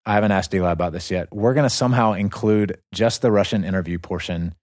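A man's voice: low voice, fast talker, a little mellow, no echo, clean studio sound. A man co-hosting a casual podcast, talking eagerly and often restarting his sentences. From United States. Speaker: podcast co-host.

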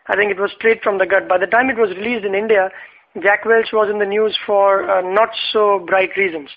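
High-voiced male interviewer, hesitant. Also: steady tone, a little fast, narrow, tinny audio. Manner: hesitant, many "uh" fillers while asking. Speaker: interviewer, host. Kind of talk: radio interview questions.

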